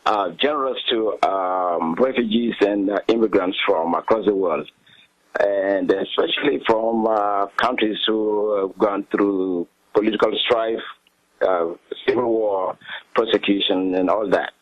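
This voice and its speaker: A middle-aged man with a South Sudanese accent, recounting his history as an interview guest in a hesitant, halting way full of pauses. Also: on the low side, no echo, muffled recording.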